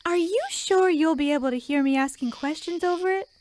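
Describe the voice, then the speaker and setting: A woman's voice: high voice, a little fast, a little mellow, a little hiss or hum, lightly acted. A woman playing a naive, wholesome and anxious teenage girl in an earnest old-time radio comedy play. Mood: worried. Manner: earnest, gee-whiz innocent.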